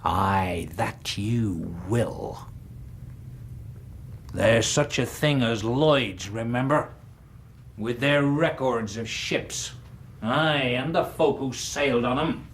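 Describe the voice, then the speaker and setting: A man's voice: mid-range voice, slow, slight background noise. Insolent old seaman, menacing. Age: older. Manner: insolent, sneering, rough sailor's speech. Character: insolent, menacing old seaman turned self-appointed butler. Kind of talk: radio drama acting.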